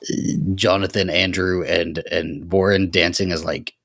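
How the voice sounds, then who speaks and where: Low, creaky, animated man on a podcast. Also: measured pace. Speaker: podcast guest. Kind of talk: casual fan discussion.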